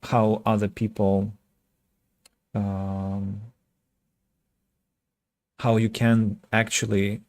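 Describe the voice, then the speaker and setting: A man from Russia with a Russian accent chats casually with viewers as a game streamer, speaking reflectively and pausing mid-sentence. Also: on the low side, level tone, a little mellow, no room sound. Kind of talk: casual livestream chat with viewers.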